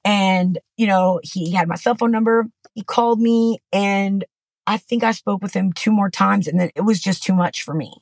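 A woman's voice: mid-range voice, moderate pace, darkish tone, no echo. Middle-aged woman, podcast guest, candid rambling storyteller, dry close recording. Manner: candid, rambling, frequent "you know" and restarts. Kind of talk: personal story in conversation. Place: Texas.

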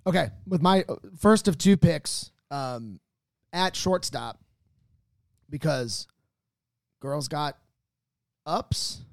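A man's voice: fairly high pitch, lively intonation, slow, pauses often, dry recording. A man hosting a podcast, chatting casually and jokingly as he makes his case. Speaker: podcast host. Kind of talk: casual joking draft banter. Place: podcast recording space.

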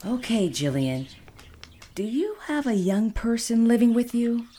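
A woman's voice: a little high, lively intonation, measured pace, dark tone, a little hiss or hum. A woman playing a kindly, frank veterinarian with a casual manner, in a naturalistic audio drama. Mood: serious, frank.

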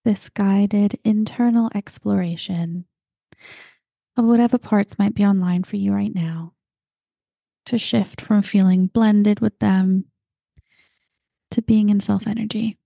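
A woman guiding a meditation, talking slowly and calmly and at times dropping into breathy whispers. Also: medium pitch, no room sound, clean studio sound. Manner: slow, soft and calm, drifting into breathy whispers. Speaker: meditation guide. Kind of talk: guided meditation.

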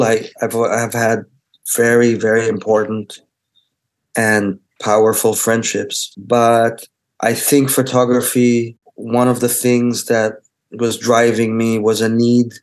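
A middle-aged man born in Israel whose first language is Hebrew, speaking as a photographer in an interview. He talks reflectively and haltingly, often restarting and searching for words. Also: mid-range voice, a little mellow.

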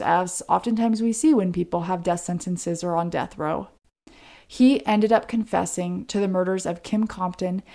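A woman's voice: medium pitch, a little mellow. An American woman co-hosting a podcast, telling a true-crime case in a conversational way. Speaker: podcast co-host.

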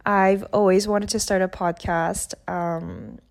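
A woman's voice: medium pitch, fairly even intonation, slow, vocal fry. A young woman, a native Korean speaker, talking candidly on her own podcast in a loose, filler-heavy way. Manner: casual, candid, many fillers and "like". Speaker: podcast host. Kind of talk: solo reflective podcast monologue.

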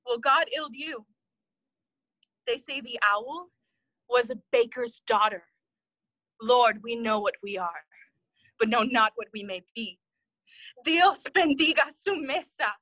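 A young noblewoman lost in grief and madness, high and slow in her speech. She wanders brokenly between English and Spanish in a Shakespearean audio play, fragile and close on a dry recording. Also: lively intonation, bright, forceful tone, no echo, full character voice, poor audio. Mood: distracted.

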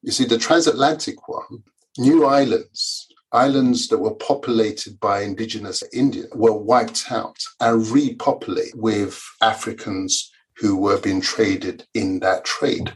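A Nigerian-born man, an author and historian, explaining history as a podcast guest in a measured, lecturing way. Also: lowish voice, steady tone, slightly rough, low-quality recording. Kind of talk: conversational explanation.

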